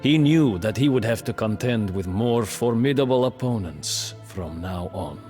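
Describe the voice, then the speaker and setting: A man's voice: fairly low pitch, expressive, warm tone. Proud, bitter captive nobleman, cavernous reverb. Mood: grave, proud. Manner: haughty, theatrical, deliberate. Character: bitter, proud imprisoned Lithuanian nobleman recounting his downfall. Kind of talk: dramatic storytelling narration for a strategy game cutscene. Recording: long reverberant hall, music underneath.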